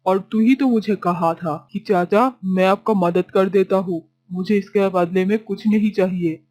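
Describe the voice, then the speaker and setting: A woman voicing a crafty, miserly village uncle in a children's cartoon, gruff and dismissive. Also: medium pitch, measured pace, darkish tone, close to an everyday voice. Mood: scornful, dismissive. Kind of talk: children's cartoon story voice acting.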